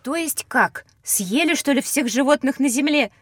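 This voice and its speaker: A woman playing a bright, curious child who speaks articulately in an educational audio drama. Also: high-pitched, expressive, moderate pace, no echo, clean studio sound. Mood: curious, knowing. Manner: inquisitive, articulate. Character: bright curious child on a time-travel lesson.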